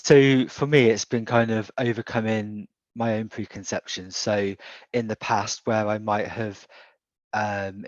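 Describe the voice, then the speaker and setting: A man's voice: fairly low pitch, dry recording, highs cut off. A man with a British accent speaking candidly and self-reflectively in an online group discussion. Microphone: video call.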